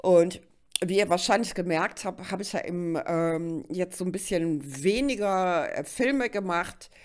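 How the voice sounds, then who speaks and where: A woman's voice: on the low side, unhurried. A middle-aged woman with a slight cold, chatting directly to the listener as she hosts an informal tarot reading. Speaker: tarot reader and host. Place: indoors at a card table.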